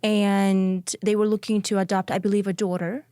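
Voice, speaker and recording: A woman's voice: medium pitch, little pitch movement, moderate pace, warm tone, smooth, no room sound, studio quality. A woman hosting a podcast, asking questions and summarizing in a conversational interview style. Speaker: podcast host.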